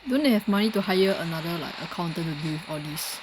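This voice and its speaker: Low-voiced woman in casual gameplay commentary. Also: quick pace, very noisy. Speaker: gamer playing and commenting. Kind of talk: casual gameplay commentary, reading text aloud.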